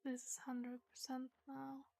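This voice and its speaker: High-pitched, creaky-voiced woman gaming. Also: monotone, a little slow, dark tone, a little hiss or hum. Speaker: game streamer. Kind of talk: casual gameplay chat.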